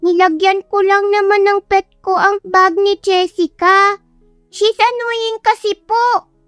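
Squeaky, pouty little Filipino girl. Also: fairly even intonation, takes it slowly, fairly bright tone, no echo, studio quality, soft background music, full character voice. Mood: pouty, pleading. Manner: childish, simple broken Taglish. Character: pouty, clingy little girl scolding her daddy.

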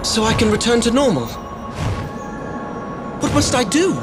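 A man's voice: extremely high pitch, expressive, normal speed. An actor playing a cursed young male rabbit-folk prince who speaks politely and earnestly. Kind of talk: video game cutscene acting. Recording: loud game music behind.

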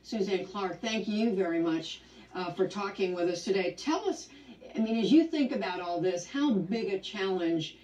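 A woman news anchor asks her questions in an even, formal tone, with a dark, mellow voice. The sound is dull and roomy, picked up from a speaker some distance from the microphone. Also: medium pitch, moderate pace. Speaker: news interviewer. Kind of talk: broadcast interview. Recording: dull roomy audio replayed from far off.

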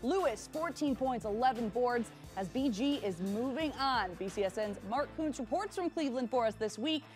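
Woman anchor narrating sports highlights. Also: animated, normal speed, hardly any pauses, a little mellow, soft background music. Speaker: news anchor.

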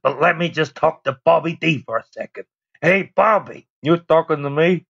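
Man playing a brash old comic ghost, gravelly, bright and forceful, teasing, radio play. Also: a little high, normal speed, dull audio, heavily acted. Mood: encouraging. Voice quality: raspy. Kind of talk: radio drama acting.

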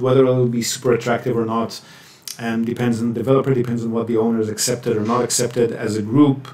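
A man presenting a webinar and answering questions in a measured, explanatory way with hesitant pauses. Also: medium pitch, darkish tone. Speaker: webinar presenter, property buyer's agent. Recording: roomy echo, distant microphone.

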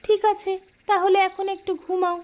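A woman's voice: very high-pitched, little pitch movement, normal speed, muffled recording. A woman voicing a caring, inquisitive village wife in a fairy-tale cartoon, with a homely, questioning manner. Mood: concerned, coaxing.

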